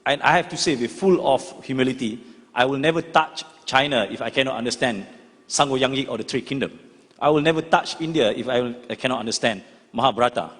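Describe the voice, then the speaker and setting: A young man with a Malaysian accent putting a question at a public forum in a halting, deferential way. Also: mid-range voice, a bit bright. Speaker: audience questioner, member of parliament. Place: large hall, public forum. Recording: husky-edged sound in reverberant large hall.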